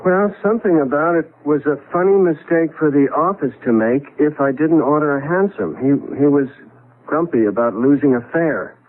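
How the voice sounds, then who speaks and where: An actor playing a good-humored husband in a 1970s radio drama, with a jokey, expressive delivery. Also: male voice, fairly high pitch, moderate pace, phone-quality sound, close to an everyday voice. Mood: uneasy, placating. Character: good-humored husband newly moved into an old mansion. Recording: band-limited vintage radio recording.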